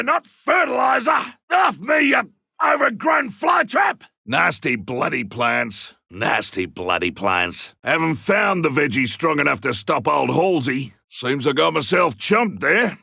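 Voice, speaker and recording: Game role: rugged Australian trapper, cocky and blunt, husky voice with a hard bright edge. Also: male voice, sits high, dry recording, studio quality, full character voice. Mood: panicked struggle, then calm, wry. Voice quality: gravelly, rough. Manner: colloquial, blunt, forceful delivery. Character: gruff male monster hunter, rugged, cocky, seasoned trapper. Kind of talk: video game combat barks and callouts.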